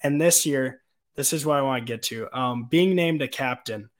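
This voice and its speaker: A man's voice: mid-range voice, moderate pace. A man hosting a podcast, asking long, rambling questions with plenty of "um" and "uh" in a casual tone. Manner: rambling questions with filler words. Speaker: podcast host.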